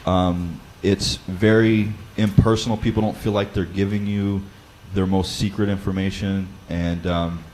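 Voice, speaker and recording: A man with a loud voice who leads a Bible study, explaining practical advice in a conversational way with frequent fillers and restarts. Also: low voice, level tone, unhurried, a little hiss or hum, rough recording. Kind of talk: instructional talk.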